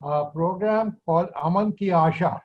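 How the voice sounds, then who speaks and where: A man speaks hesitantly with frequent pauses, his voice set a little higher than most men. The call audio sounds muffled and lo-fi. He comes across as someone thinking aloud in a meeting. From Pakistan. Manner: halting, many filler pauses. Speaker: meeting participant. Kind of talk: video call discussion.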